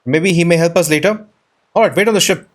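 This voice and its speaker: A man's voice: a little high, fast talker. A man hosting a casual let's play, chatty and thinking aloud as he goes. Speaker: gaming video host. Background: soft mallet game music.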